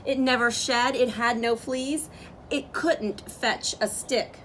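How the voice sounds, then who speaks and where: A woman reading a picture book aloud as a storyteller, with expressive, drawn-out phrasing for young listeners. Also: a little high, a little hiss or hum. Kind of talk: expressive children's read-aloud narration.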